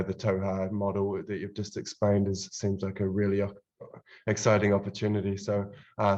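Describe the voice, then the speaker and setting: A man hosts a summit and thanks the speaker in a halting way, with many fillers. His fairly low voice stays very flat and even. The sound is slightly dull and lo-fi. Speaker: summit host.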